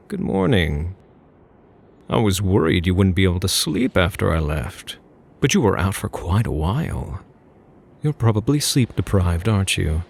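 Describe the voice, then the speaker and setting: A man playing a smug, flirtatious phantom thief in an ASMR roleplay, teasing and mock-polite, with a husky, gravelly voice. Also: low voice, exaggerated voice.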